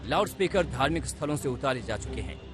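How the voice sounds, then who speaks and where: A man's voice: medium pitch, animated, fairly quick. Emphatic male news narrator over music. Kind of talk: dramatic scripted news narration.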